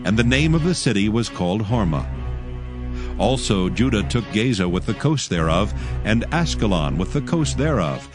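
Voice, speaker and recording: A man's voice: lowish voice, very animated, normal speed, music in the background. A man narrating scripture from a script in a solemn, dramatic storytelling manner.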